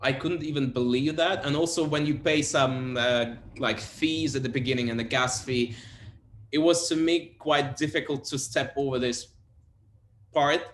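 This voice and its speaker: A man's voice: medium pitch, fairly even intonation, fairly bright tone, a little hiss or hum. Hesitant man from Kazakhstan in roomy echo. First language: Russian and Kazakh. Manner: hesitant, halting phrasing. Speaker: interview guest, film photographer. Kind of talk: casual interview.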